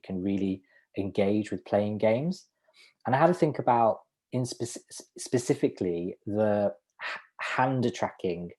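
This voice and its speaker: A man working as a sign language interpreter, voicing a student's presentation in the first person over a video call, halting at times with restarts and small laughs. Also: fairly low pitch, darkish tone.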